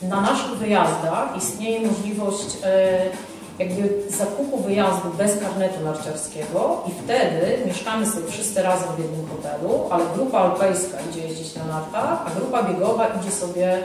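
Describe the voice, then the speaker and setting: A woman's voice: mid-range voice, moderate pace. A woman in her thirties, an instructor giving emphatic, explanatory interview answers, repeating points and adding short tag questions. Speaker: ski and Nordic walking instructor, interview guest. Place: indoor ski-shop cafe. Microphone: handheld microphone with foam cover. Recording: echoey room, distant sound, music behind.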